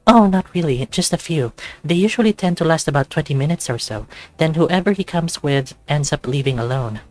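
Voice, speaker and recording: Man voicing a bubbly high-pitched female fossa barista. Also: animated, a little fast, faint music behind, close to an everyday voice. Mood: gossipy, encouraging. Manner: eager, gossipy, exaggerated whispering. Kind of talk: visual novel character read aloud.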